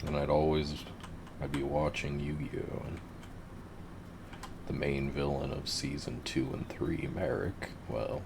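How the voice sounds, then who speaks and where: Very deep, gravelly gamer guy. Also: flat delivery, a little slow, background noise. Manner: laid-back, swearing, rambling. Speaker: gamer narrating his play. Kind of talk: casual gameplay commentary.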